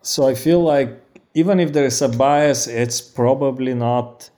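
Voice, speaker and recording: A man's voice: mid-range voice, steady tone, a little slow, warm tone. A man with a Bulgarian accent, a researcher explaining studies in an interview, academic in manner with frequent fillers. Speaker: guest researcher. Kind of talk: interview answers.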